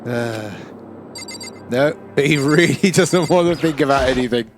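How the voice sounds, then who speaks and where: A man's voice: medium pitch, measured pace, slight background noise. A man hosting a gaming let's play with casual commentary. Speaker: gaming host.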